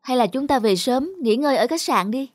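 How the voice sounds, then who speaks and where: A woman voicing a gentle, affectionate young wife in a cartoon dub, with music underneath. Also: high voice, lively intonation, brisk. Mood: gently pleading. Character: gentle young wife on holiday, agreeable and appreciative.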